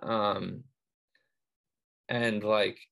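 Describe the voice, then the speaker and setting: Slow, creaky-voiced man who stutters. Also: fairly low pitch, flat delivery, a bit bright. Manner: person who stutters, with part-word repeats and many fillers. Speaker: panel speaker. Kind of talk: casual reflective talk.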